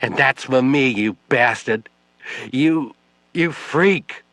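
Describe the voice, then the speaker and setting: A man's voice: medium pitch, slow, fairly bright tone, dry recording, pitched above the performer's own voice. A man reading an audiobook, voicing a drunk, grief-stricken young widow who slurs and shrieks her accusations. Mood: anguished. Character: drunk grieving young widow, furious, slurring.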